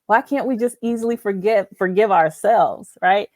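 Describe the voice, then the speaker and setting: A woman's voice: medium pitch, moderate pace, darkish tone, no room sound, studio quality. Emphatic woman hosting a heartfelt talk show. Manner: emphatic, affirming, repeats phrases for emphasis. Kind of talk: faith-based conversational interview.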